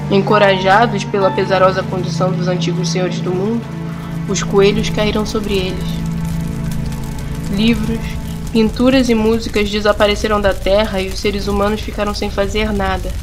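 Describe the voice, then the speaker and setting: A woman with a Brazilian accent narrating an audio drama in a measured, solemn storytelling style with deliberate pauses. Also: medium pitch, level tone, loud music behind the voice.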